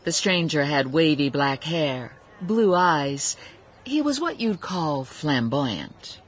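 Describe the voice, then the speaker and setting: A woman's voice: low-pitched, sing-song, takes it slowly, heavily acted. A woman playing a wry storyteller narrating a family saga in a radio drama. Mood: wry, storytelling.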